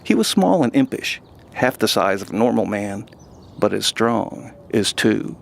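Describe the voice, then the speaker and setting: A man's voice: lowish voice, very animated, a little slow, vocal fry, slight background noise. A man hosting and narrating scripted fantasy stories. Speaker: host and narrator.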